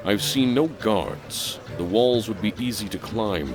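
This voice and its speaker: A tall, powerful young barbarian with a notably deep voice. He speaks in blunt, stern, deliberate phrases in a fantasy audio drama, with music underneath. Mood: stern curious.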